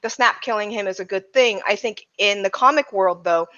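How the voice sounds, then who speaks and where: A woman's voice: medium pitch, moderate pace, a bit bright. Woman podcast host, slightly dull lo-fi sound. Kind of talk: casual discussion.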